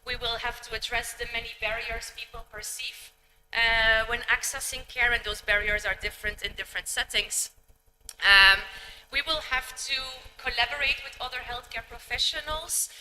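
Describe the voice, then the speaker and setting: A woman giving an academic conference lecture, measured and peppered with ums and uhs. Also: fairly high pitch, fairly even intonation, pushed and bright. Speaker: conference speaker. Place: large conference hall. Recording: echoey hall with hum.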